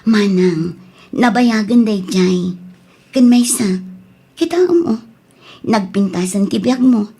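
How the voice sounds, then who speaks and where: A woman's voice: mid-range voice, animated, slow pace, pauses often, mellow, breathy edge, heavily acted. A woman acting an earnest younger sister who coaxes and reasons, in an Ilocano radio drama. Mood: earnest coaxing.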